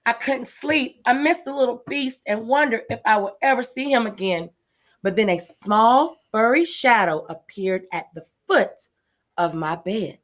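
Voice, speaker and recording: A woman voicing an earnest, affectionate little girl in a children's storybook, with expressive, wide-eyed delivery. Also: on the high side, measured pace. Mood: wistful, wondering. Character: earnest, affectionate little girl telling of her new pet. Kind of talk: children's storybook read-aloud.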